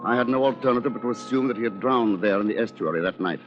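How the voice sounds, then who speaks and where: An actor playing a cold, conniving attorney with a formal, pompous, calculating manner in an old-time radio drama. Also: male voice, lowish voice, quick pace, lightly acted. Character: cold, conniving male attorney, cleverly manipulative. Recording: muffled old radio recording, music behind.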